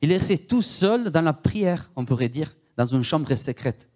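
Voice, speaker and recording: An Italian-born man preaching a sermon with a heavy Italian accent. His voice sits a little high for a man. He is emphatic, repeats words and often turns to the listeners with questions. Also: expressive, normal speed, darkish tone.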